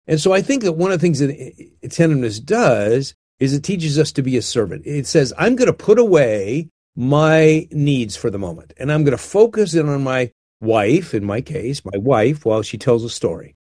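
A man's voice: mid-range voice, sing-song, normal speed, hardly any pauses, dark tone, no room sound, studio quality. An older man talking earnestly and unhurriedly about marriage, often restarting his phrases. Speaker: guest speaker on marriage. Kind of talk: reflective conversational talk.

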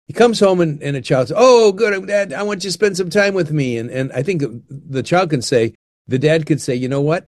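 A man's voice: a little high, lively intonation, darkish tone, no echo. Earnest older man, dry close sound. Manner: earnest, unhurried, with frequent restarts. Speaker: guest speaker on marriage. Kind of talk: reflective conversational talk.

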